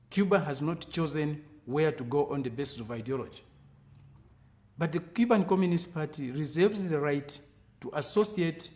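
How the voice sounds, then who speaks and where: A man making a political statement in a deliberate, emphatic, oratorical way. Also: a little high, darkish tone.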